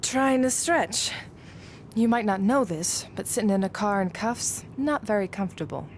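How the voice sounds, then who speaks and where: A woman's voice: medium pitch, lively intonation, moderate pace, slight background noise, natural voice. A woman playing a driven, sarcastic female federal agent in a video game drama. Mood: sarcastic, restless. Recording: loud music behind the voice. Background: music.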